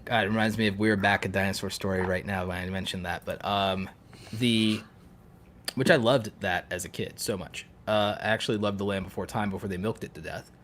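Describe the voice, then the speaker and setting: A man with a fairly low voice co-hosts a casual podcast. He talks in a rambling, enthusiastic way, gushing as he goes along in loose conversational phrases. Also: fairly even intonation, brisk, a bit bright. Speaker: podcast co-host.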